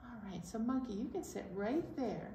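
A middle-aged woman presenting storytime to young children, animated and encouraging as she talks and leads action songs. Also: a little high, moderate pace, lo-fi sound. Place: indoor library storytime set. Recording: roomy, distant mic, faint noise.

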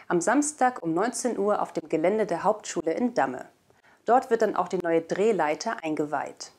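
A young woman reads scripted news as a presenter. Her voice sits at a medium pitch and is picked up by a lapel mic in a studio. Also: darkish tone. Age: young adult. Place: indoor studio.

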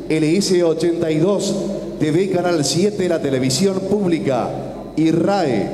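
A man's voice: a little high, dark tone. A man formally announcing a national broadcast, stately and ceremonious as he reads out titles and names. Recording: echoey hall with audience noise.

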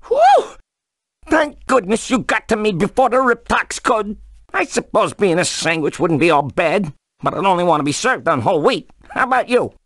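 A man's voice: high voice, a bit bright, no echo, studio quality, heavily acted. A man voicing a nervous, dramatic talking pig in a cartoon video game. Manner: anxious, dramatic. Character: nervous, fretful talking pig, a captive on vacation.

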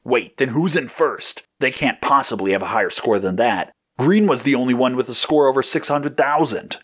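A man's voice: medium pitch, normal speed, slightly rough, voice pushed up, natural voice. A man voicing a hot-headed, competitive agent in an audio story, indignant and demanding. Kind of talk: acted audio story dialogue.

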